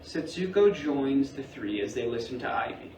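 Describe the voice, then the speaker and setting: Heard from off the mic in an echoey theatre, a man reads stage directions. His voice is medium in pitch and his delivery is scripted narration, over faint noise. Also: expressive, moderate pace, darkish tone, distant mic.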